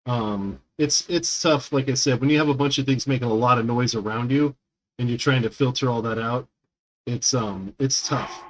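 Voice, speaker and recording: A man's voice: medium pitch, normal speed, low-quality recording. A man in his thirties chatting with viewers while streaming a game, rambling with lots of fillers into a small condenser mic at home. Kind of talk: casual live-stream chat with viewers.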